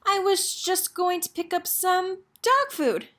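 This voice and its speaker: A woman's voice: very high-pitched, animated, takes it slowly, a bit bright, pitched above the performer's own voice, exaggerated voice. A woman voicing a young trick-or-treating girl in a storybook, bossy but a little nervous. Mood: nervous, timid.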